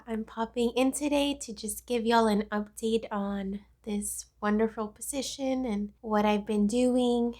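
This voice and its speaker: An American woman, congested from a cold, giving a casual, chatty update as a vlogger. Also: fairly high pitch. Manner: chatty, informal. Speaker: vlogger, pre-K instructional coach.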